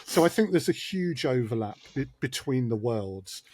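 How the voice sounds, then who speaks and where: A man's voice: mid-range voice, expressive, normal speed, darkish tone, a little hiss or hum. A man speaking as a podcast guest, hesitant and full of restarts, with a dry sense of humour. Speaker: audio drama producer, guest. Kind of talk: casual podcast chat.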